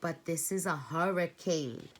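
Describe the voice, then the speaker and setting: A woman's voice: fairly low pitch, a little slow. A woman reading aloud and voicing an anxious teenage girl who wails nervously. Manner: nervous, wailing.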